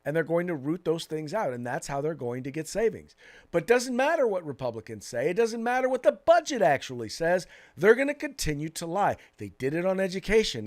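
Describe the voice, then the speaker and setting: Animated American man talking politics. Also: high voice. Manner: emphatic, repeats phrases for stress. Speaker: political talk show co-host. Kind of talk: opinionated political commentary chat.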